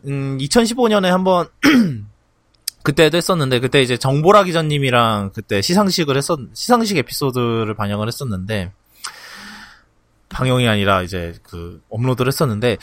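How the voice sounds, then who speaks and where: A man hosting a podcast in a casual, halting way, often clearing his throat between phrases. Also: mid-range voice, a bit bright, dry recording, studio quality. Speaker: podcast host. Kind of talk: casual podcast outro and announcements.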